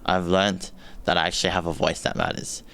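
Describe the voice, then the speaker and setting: A young man in his late teens reads a reflection in a steady, sincere way. His voice is very low and clean. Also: a little fast, creaky, clean tone. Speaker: student leaving high school. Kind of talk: reading a prepared reflection.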